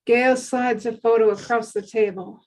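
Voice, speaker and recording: A woman's voice: fairly high pitch, fairly even intonation, moderate pace, darkish tone, low-quality recording. A woman reading stage directions as scripted narration at a drama table read.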